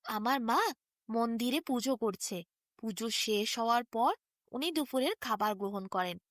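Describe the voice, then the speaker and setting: A woman plays a sweet, innocent little boy from a bedtime storybook. The voice is high and lively, with an earnest, coaxing manner that sounds friendly and hospitable. The sound is dry and close. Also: a little slow, no room sound. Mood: matter-of-fact. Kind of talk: storybook character dialogue.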